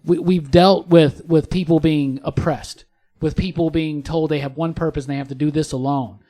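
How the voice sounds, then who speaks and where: A man's voice: a little high, steady tone, normal speed, dark tone. A man co-hosting a casual panel discussion in a studio, musing earnestly and repeating phrases for emphasis. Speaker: podcast co-host. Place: podcast studio.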